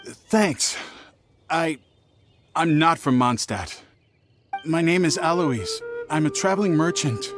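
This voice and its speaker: Game role: flustered merchant; lightish pitch, unhurried halting pace, timid and grateful. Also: male voice, animated, fairly bright tone, faint music behind. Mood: relieved, shaken. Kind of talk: video game voice acting.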